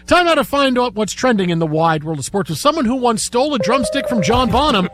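High-voiced joking sports radio host. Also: male voice, sing-song, a little fast. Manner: joking, teasing co-hosts. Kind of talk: bantering sports radio talk.

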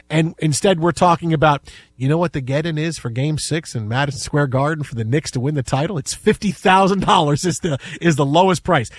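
A man hosting a sports talk show with casual, animated, teasing banter, often repeating his phrases. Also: a little high, dry recording. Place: dry studio.

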